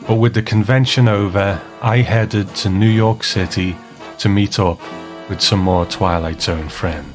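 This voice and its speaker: A man with a low voice narrates as a podcast host. His delivery is measured and appreciative, like prepared remarks, with music clearly playing underneath. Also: fairly even intonation.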